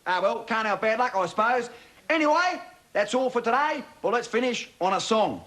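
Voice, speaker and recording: A man's voice: extremely high pitch, lively intonation, moderate pace. A man playing a cheeky Australian bogan bloke with a broad accent, telling a comic bedtime story in a laid-back, slangy, matey way. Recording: TV studio with loud audience laughter, noisy.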